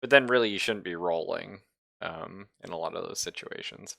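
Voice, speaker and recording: Low, bright-voiced man running a tabletop game. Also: moderate pace, vocal fry, dry recording, clean studio sound. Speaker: game master of an online tabletop RPG. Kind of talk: casual group chat while running a game.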